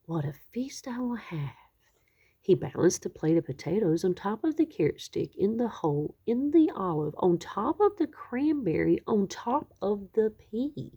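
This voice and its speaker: A woman reading a children's picture book aloud expressively, with dramatic, drawn-out emphasis on key words. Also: mid-range voice, very animated, mellow, breathy edge, dull audio. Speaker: children's story reader.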